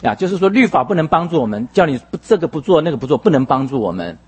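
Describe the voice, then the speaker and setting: Husky male sermon interpreter. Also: a little high, big pitch swings, rapid-fire.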